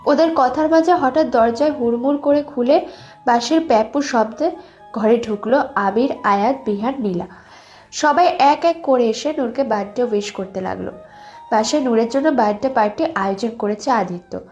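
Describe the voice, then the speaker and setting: A woman narrates a scripted romantic story. Her voice is high, and soft music plays underneath as she tells it. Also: moderate pace, muffled recording.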